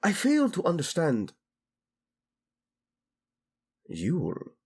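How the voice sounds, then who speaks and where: Courtly prince, male voice actor: slow, husky, imperious yet teasing, romantic audio drama over music. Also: mid-range voice, darkish tone, exaggerated voice. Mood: puzzled, irritated. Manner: formal, deliberate speech sprinkled with Spanish. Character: haughty, teasing Spanish-speaking fantasy prince, courtly and imperious. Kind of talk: scripted romantic audio roleplay.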